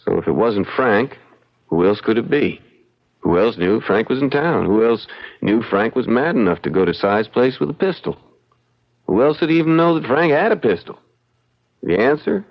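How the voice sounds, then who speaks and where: A man playing a young frontier lawyer in an old radio mystery, folksy, shrewd and deliberate as he probes. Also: mid-range voice, lively intonation. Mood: pointed, accusing. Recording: dull, band-limited old radio recording.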